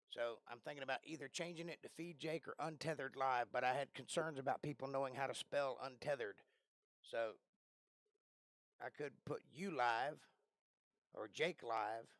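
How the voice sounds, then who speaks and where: A man with a raspy voice that he says he is losing, chatting casually as a livestream host from a small bedroom, often clearing his throat. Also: medium pitch, fairly bright tone, no room sound. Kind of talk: casual live-stream chat. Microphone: close desk microphone.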